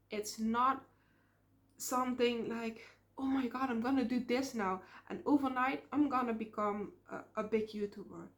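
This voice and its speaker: A young woman with a Dutch accent, talking to camera in a casual vlog, earnest and reflective, often pausing on fillers. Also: a little high. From Netherlands. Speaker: small YouTube creator.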